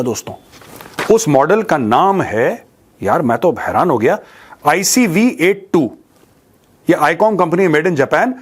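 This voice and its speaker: Male Indian commentator, fairly high pitch, Indian accent, colloquial and blunt with a mocking edge, mixes in English. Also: husky. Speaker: commentator and show host. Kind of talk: informal news commentary to camera, mixing Hindi and English.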